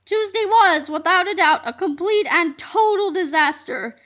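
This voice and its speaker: A woman reading a children's book aloud as an expressive, emphatic storyteller. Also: very high-pitched, measured pace.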